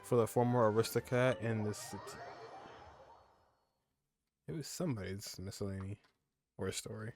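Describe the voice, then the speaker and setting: A man's voice: lowish voice, moderate pace, pauses often, a little mellow. A man giving casual commentary on a game playthrough, musing and thinking aloud as he goes. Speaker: gamer, video creator. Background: game music.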